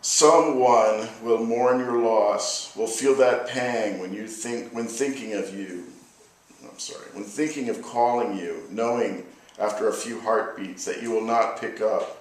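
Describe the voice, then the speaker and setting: An American man speaking as a poet at a live reading, with measured, deliberate phrasing. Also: lowish voice. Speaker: poet reading own work. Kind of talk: live poetry reading with informal spoken introduction. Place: reverberant room at a live reading. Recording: echoey live room, distant microphone.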